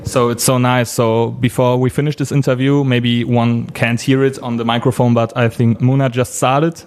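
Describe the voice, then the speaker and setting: A man asking interview questions in a halting, hesitant way. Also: mid-range voice, level tone, darkish tone. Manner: halting, hesitant phrasing in non-native English.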